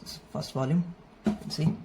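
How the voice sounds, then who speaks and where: A man whose first language is not English, casually showing his manga collection in an unhurried, friendly way and dropping Japanese terms into his English. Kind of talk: casual show-and-tell overview. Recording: faint noisy room ambience. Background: faint background noise.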